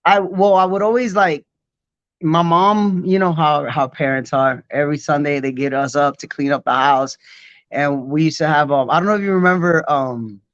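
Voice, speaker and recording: A man's voice: a little high, steady tone, normal speed, a bit bright, clean tone, no echo, muffled recording. A man in his forties, born in Puerto Rico, telling stories in a loose, rambling way full of fillers during a casual interview. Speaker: guest b-boy.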